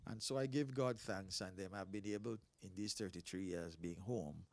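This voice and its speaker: Gravelly Belizean pastor in his sixties. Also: low voice, normal speed, dry recording. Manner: animated storyteller, laughs often. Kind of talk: casual interview talk.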